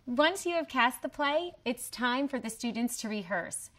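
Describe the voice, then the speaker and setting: A woman's voice: high voice, animated, normal speed, a bit bright. A woman delivering scripted promotional narration as a presenter. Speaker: host presenter.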